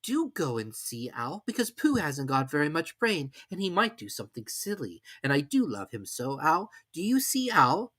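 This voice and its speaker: An eager young boy from a children's storybook, acted out by a narrator. The voice is lifted high and moves freely up and down, childlike, fond and earnest. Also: big pitch swings, moderate pace, hardly any pauses, fairly bright tone. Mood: worried, fond.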